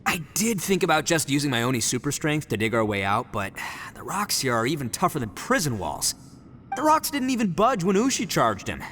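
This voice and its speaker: Loud-mouthed but kind oni boss for a game, swingy bright voice, casual and blunt. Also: male voice, a little high, very animated, a little fast, slight background noise. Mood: defensive, frank. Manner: brash, casual, frank. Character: boisterous, good-hearted oni gang boss, young man. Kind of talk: video game voice acting.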